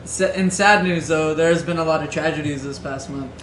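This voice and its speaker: A man with a slightly high voice rambles through casual podcast chat and teases himself along the way. His voice rings in a roomy space with faint noise behind it. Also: fairly bright tone. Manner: quick, rambling, self-mocking. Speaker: podcast co-host.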